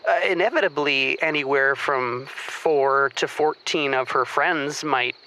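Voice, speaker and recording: An actor playing an earnest, lovestruck boyfriend who hesitantly and wordily phones a comedy advice show. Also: male voice, mid-range voice, bright, forceful tone, a little hiss or hum, poor audio. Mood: anxious, uncertain. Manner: hesitant, halting, wordy. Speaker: caller. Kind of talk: improvised comedy call-in.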